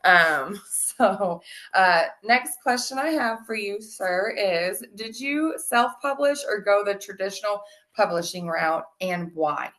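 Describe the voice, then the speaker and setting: A woman hosting an interview podcast, chatty and animated, saying "like" a lot and laughing often. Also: medium pitch, slow pace. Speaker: podcast host. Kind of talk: casual interview chat.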